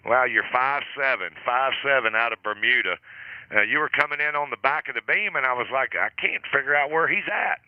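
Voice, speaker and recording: A man's voice: mid-range voice, brisk, muffled recording, background noise. Friendly man running a radio net. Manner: relaxed, friendly, chuckles often. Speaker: net control operator. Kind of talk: amateur radio net chat.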